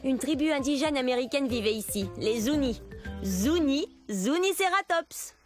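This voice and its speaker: A good-natured little horned dinosaur chats to young viewers in an educational cartoon. The voice is high and friendly, explaining things simply over background music. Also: female voice, animated, normal speed. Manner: explaining to young viewers, stretching out key words. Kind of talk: animated educational cartoon for children. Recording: music under the voice.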